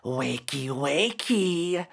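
A storyteller voicing a small, mocking male demon of grief who hisses and speaks slowly and knowingly. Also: mid-range voice, lively intonation, creaky, husky, heavily acted. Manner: hissing, slow and knowing.

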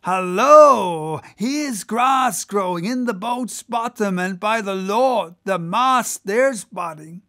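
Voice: medium pitch, takes it slowly, vocal fry, a bit bright, dry recording, studio quality, pitched above the performer's own voice, exaggerated voice. An audiobook reader voicing a boisterous, joking whaleboat mate who goads the crew in salty, exclamatory talk. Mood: rousing, goading. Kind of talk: animated audiobook character voice.

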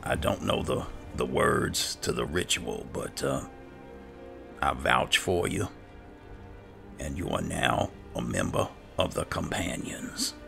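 Very deep, gravelly man. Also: very animated, over music. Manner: halting, low-key, informal. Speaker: gaming host, roleplaying as a guild leader. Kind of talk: casual improvised in-character gameplay narration.

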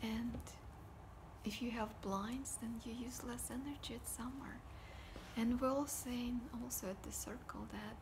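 A woman, talking casually to camera at home in a soft, often whispery voice. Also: a little high, gravelly, noisy background. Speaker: vlogger. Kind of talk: casual personal talk to camera. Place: living room at home, indoors. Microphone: device camera at desk distance.

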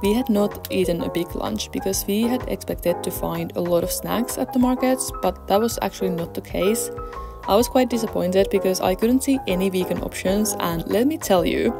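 A woman's voice: mid-range voice, normal speed, gravelly. A young adult woman vlogger giving a casual voice-over narration of her day out. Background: background music.

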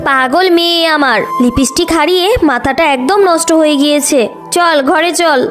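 A woman's voice: high-pitched, normal speed, a bit bright. A woman voicing a scolding but caring village mother in a children's cartoon story. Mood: fond, dismissive. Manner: nagging, motherly. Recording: music behind the voice.

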